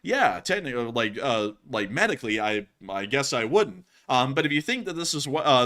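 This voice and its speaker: A man's voice: medium pitch, pushed and bright. A man in his early twenties hosting live news commentary, talking casually to viewers in an emphatic, folksy way. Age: early 20s. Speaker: news channel host.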